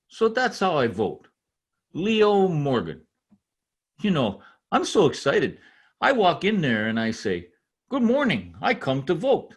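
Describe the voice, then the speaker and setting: A man playing a proud, excitable immigrant tradesman in an old-time radio comedy, with a foreign accent, a husky voice and halting, earnest English. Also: on the high side, heavily acted. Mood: cheerful.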